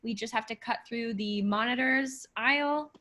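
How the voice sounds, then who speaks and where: A woman's voice: fairly high pitch, moderate pace, pushed and bright. A woman playing an impatient but helpful electronics salesclerk, slightly hesitant, in an improvised comedy sketch.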